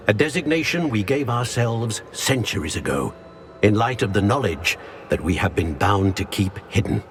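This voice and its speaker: A solemn, ancient wizard speaking from a portrait in a fantasy game. His formal speech rises and falls widely in a lowish voice, with faint ambient noise around it. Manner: formal, measured, grandly courteous. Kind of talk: scripted game cutscene acting.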